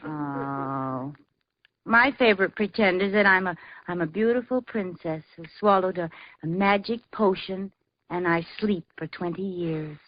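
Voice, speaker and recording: A woman's voice: fairly low pitch, measured pace, lightly acted. A woman acting a scatterbrained, cheerful, chatty housewife in breezy, naive 1940s radio comedy style. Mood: dreamy, coaxing. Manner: breezy, naive, theatrical comic delivery. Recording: muffled, noisy old radio recording.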